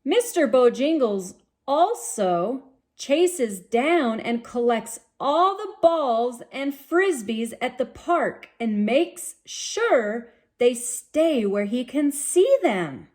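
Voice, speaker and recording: A woman reading a storybook aloud to young children, expressive and sing-song, speaking directly to her listeners. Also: fairly high pitch.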